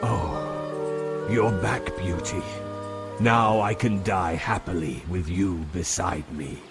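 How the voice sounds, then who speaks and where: Man voicing cursed beast prince, very slow, pleading, lovelorn, storybook cartoon style, clear music behind. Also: deep voice. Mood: weak, tender. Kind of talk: children's animated storybook acting.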